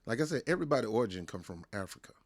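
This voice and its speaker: A man's voice: medium pitch, big pitch swings, very fast. An American man hosting a wrestling show, ranting in a casual, emphatic way, repeating himself and restarting his words. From United States. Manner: emphatic, repetitive, stumbles and restarts. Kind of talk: casual opinion rant to camera.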